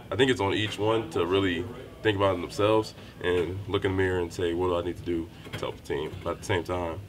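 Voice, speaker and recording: Low, flat-voiced young man. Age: young adult. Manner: low-key, measured, with frequent fillers. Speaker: college basketball player. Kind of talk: postgame press interview. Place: small indoor room by a wall. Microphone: handheld reporter mics held close.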